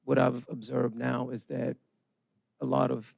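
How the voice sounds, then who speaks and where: Gravelly, husky man in his forties. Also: mid-range voice, level tone, measured pace, a little mellow, no room sound. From Charleston, South Carolina. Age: 40s. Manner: casual, earnest, uses ums. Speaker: panelist. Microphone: handheld microphone.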